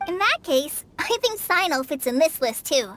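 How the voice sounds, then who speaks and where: A woman's voice: high-pitched, very animated, normal speed, a bit bright. A woman voicing a shy, anxious, apologetic young girl in a video game, girlish and hesitant. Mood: shy, tentative. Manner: hesitant, timid. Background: faint music.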